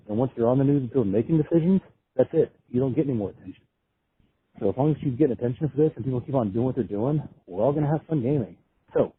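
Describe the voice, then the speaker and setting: A man gives his opinion in a casual, emphatic voicemail. His voice is extremely husky and rough, and he talks quickly. The sound is narrow and tinny, like a phone line. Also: medium pitch. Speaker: podcast caller.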